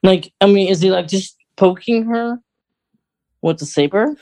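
A man's voice: high-pitched, measured pace, warm tone, no room sound. Male podcast co-host, laid-back, short agreements and questions, dry close-mic sound. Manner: brief backchannel agreement, laughs along, asks questions. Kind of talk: casual chat.

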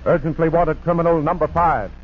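Man, American accent, fairly high voice, emphatic vintage radio announcing, muffled, faint noise. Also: steady tone, fairly quick. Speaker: program announcer. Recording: dull, noisy 1940s radio recording.

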